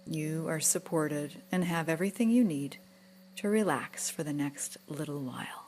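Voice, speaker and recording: A woman guiding a relaxation meditation in a gentle, soothing, unhurried manner, with soft breathy moments. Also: low voice, a little hiss or hum. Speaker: yoga nidra guide.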